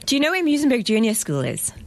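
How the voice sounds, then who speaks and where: A woman from South Africa, a festival director, explaining her work to radio hosts in an earnest, unhurried way with frequent pauses. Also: fairly high pitch, huge pitch swings, vocal fry. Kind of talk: radio interview guest. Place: radio studio.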